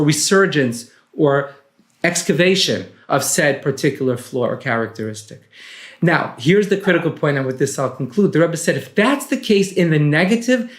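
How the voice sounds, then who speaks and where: A man, a rabbi and author, explaining teachings in a measured, didactic way. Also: fairly high pitch, roomy sound.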